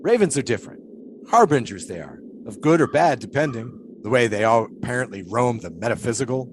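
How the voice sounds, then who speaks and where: An actor playing a pious, philosophical 1920s flagpole sitter who talks in a folksy, preachy way. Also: male voice, mid-range voice, big pitch swings, brisk, a little hiss or hum, natural voice. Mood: musing. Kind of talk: audio drama acting.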